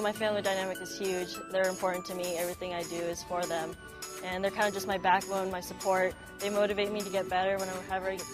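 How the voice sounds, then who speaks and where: A young American woman in her early twenties, a college athlete talking casually about herself for a profile. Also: mid-range voice. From Las Vegas, United States. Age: early 20s. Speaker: college basketball player being profiled. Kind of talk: casual interview talk to camera. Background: music.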